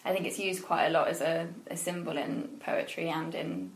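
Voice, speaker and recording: A woman's voice: fairly low pitch, level tone, moderate pace, vocal fry. A woman interviewing a guest about literature, chatty, with leading questions. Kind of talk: conversational interview. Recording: echoey room with faint background noise.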